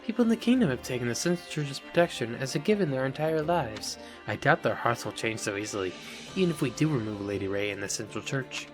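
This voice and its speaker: A man's voice: mid-range voice, animated, quick pace, over music, natural voice. A man playing a resolute, thoughtful war leader in a fantasy game, speaking with serious determination. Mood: conflicted, pragmatic. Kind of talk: dramatic fantasy game acting.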